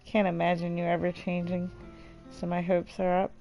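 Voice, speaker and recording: A woman's voice: on the low side, monotone, normal speed, gravelly, dark tone, faint music behind, voice pushed down. A woman voicing a caring, bashful teenage best friend in a visual novel read-aloud, hesitant and understated. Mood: hesitant, hopeful.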